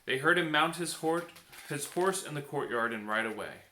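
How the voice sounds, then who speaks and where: A man reading a bedtime story aloud as an expressive storybook narrator. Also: fairly high pitch, moderate pace. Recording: echoey room.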